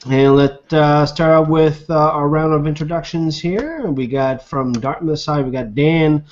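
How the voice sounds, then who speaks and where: A man's voice: on the high side, measured pace. Upbeat man hosting a video call show. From Nova Scotia, Canada. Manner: upbeat, chatty, filler uh's. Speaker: host. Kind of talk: casual live web show hosting. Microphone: webcam video call. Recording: dull lo-fi video call audio.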